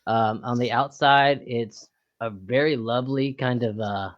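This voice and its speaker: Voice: mid-range voice, unhurried, muffled recording. A game master narrating a tabletop game over voice chat, loose and rambling with lots of fillers. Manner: casual, rambling, filler-heavy.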